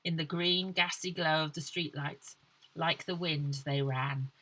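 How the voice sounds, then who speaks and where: A woman reading a children's adventure novel aloud with dramatic, animated storytelling. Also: low-pitched, a little slow, poor audio. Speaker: author reading own story. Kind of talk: expressive scripted narration of a children's novel.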